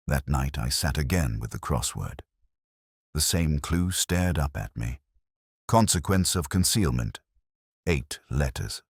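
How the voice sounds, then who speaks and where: A man narrating a scripted detective story in the first person, with a measured, brooding, literary delivery. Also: extremely low pitch, slightly rough, no echo, studio quality.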